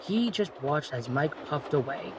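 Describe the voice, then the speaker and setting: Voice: medium pitch, animated, unhurried, noisy background. A narrator reading a scripted children's story in storybook style.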